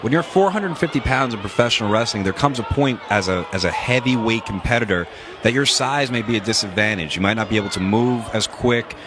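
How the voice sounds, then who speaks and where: A man calling wrestling moves in quick succession as play-by-play commentator, with jokey asides. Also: on the low side, noisy background.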